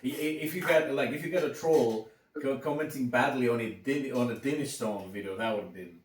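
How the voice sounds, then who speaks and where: A man with a Greek accent hosting a podcast, talking casually with many halting restarts. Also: mid-range voice, roomy sound, recorded from a distance. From Greece. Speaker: podcast host. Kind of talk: casual interview chat.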